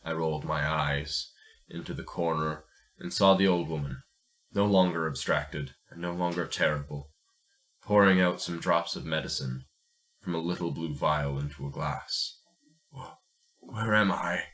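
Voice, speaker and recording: Casting: male host-narrator, bass register with a crisp forceful edge, measured and deliberate delivery. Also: steady tone. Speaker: podcast host and story reader. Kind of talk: dramatic first-person story narration, then casual chat.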